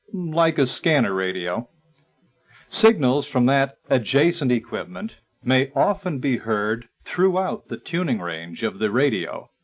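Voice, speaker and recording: A man reading a scripted educational narration in a formal, measured, articulate way. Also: mid-range voice. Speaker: narrator of an instructional audio guide. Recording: dull, lo-fi 1980s tape recording.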